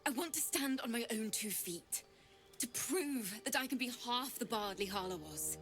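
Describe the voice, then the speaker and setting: A woman's voice: fairly high pitch, lively intonation, moderate pace, lightly acted. A woman playing an eager, sweet young bard in a fantasy game, nervous, hopeful, earnest and gushing. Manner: gushing, earnest, hesitant starts. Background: music.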